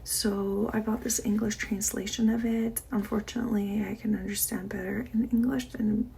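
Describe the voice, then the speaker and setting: Soft-spoken woman with vocal fry. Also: medium pitch, fairly even intonation, normal speed, a little hiss or hum, rough recording. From Iran. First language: Persian. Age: 40s. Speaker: vlogger. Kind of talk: casual diary chat to camera.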